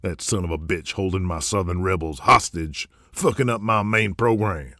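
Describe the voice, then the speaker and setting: A man's voice: deep voice, normal speed, bright, forceful tone, no echo, clean studio sound. A man playing a scheming, foul-mouthed wrestling promoter in an audio drama, talking in a conspiratorial, folksy and crude way. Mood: conspiratorial glee.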